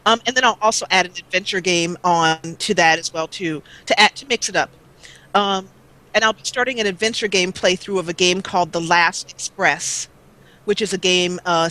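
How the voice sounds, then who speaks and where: A chatty woman in her forties talks about her gaming channel as an interview guest. Her voice is bright and clear. She rambles, restarts, and fills gaps with ums, and her mic is old and taped up. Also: fairly low pitch, low-quality recording. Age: 40s. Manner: rambling, chatty, with many fillers. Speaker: guest let's player. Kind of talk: casual interview chat. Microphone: old duct-taped mic that cuts out.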